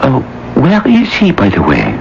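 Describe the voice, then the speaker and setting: A man in a radio drama playing a devoted middle-aged French gentleman with a gentle, courtly, measured manner. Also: medium pitch, wildly swooping pitch, gravelly, full character voice. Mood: curious. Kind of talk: old-time radio drama acting. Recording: dull, faintly noisy old radio broadcast.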